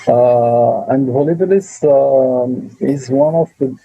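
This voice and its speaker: A Moroccan man who grew up speaking Arabic talks about his country in an interview. His voice is gravelly, husky and very dark, and his speech is full of pauses and repeated words. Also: medium pitch, muffled recording. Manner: hesitant, many fillers and repeated words. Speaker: tourism board representative. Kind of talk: interview answers.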